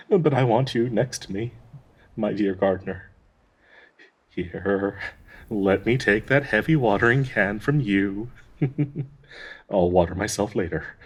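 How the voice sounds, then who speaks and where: A man playing a gentle, devoted dryad tree spirit in an intimate audio roleplay, anxiously hopeful, halting and stammering tenderly with nervous soft laughs in a breathy voice. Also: fairly low pitch. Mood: relieved, teasing.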